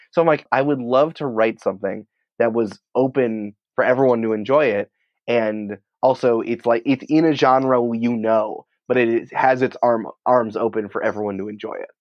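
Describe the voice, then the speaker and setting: A man talking casually as an interview guest, in an excitable, rambling, informal way peppered with filler words. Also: mid-range voice, no room sound, highs cut off. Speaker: podcast writer and producer, interview guest.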